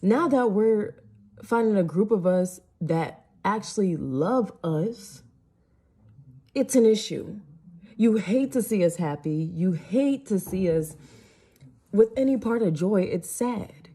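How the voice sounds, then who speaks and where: A woman's voice: medium pitch, lively intonation, slow, warm tone, breathy edge. A woman, delivering an indignant rant to camera full of rhetorical questions. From United States. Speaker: social media commentator. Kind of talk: impassioned direct-to-camera rant.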